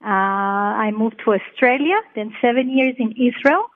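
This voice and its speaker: A woman's voice: on the high side, a little slow. Woman, Colombian Spanish accent, earnest explainer, tinny phone line. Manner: earnest, explanatory, light laughs. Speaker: cookbook author, Chinese medicine practitioner. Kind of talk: phone interview guest.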